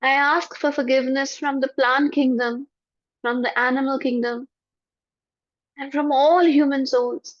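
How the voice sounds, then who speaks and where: A woman leading a guided forgiveness meditation in a soft, prayerful voice with slow repetitions. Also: high voice, fairly even intonation, no echo. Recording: dull lo-fi, dry.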